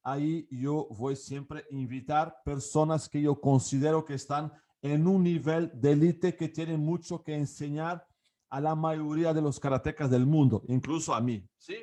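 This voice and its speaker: A man's voice: a little high, dark tone. Portuguese-accented man teaching in Spanish. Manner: hesitant, many fillers and restarts. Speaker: karate coach and academy founder. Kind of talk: online class talk to camera.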